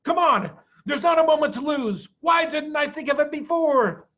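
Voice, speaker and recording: A man's voice: very high voice, lively intonation, moderate pace, fairly bright tone, muffled recording, voice pushed up. A man voicing a proud, wounded father fox, urgent and resolute, in a children's storybook reading. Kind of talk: children's storybook read aloud.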